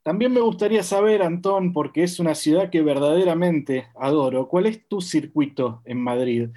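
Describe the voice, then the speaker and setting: Host guy, kinda high voice, long winding questions. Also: normal speed, dark tone. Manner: articulate, long framing questions. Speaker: podcast host interviewer. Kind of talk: interview questions and scripted intro.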